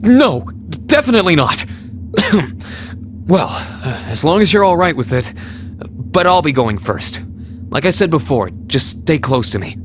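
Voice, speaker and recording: Earnest, animated young swordsman hero. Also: fairly high pitch, unhurried, a little hiss or hum. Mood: flustered. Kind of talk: video game voice acting.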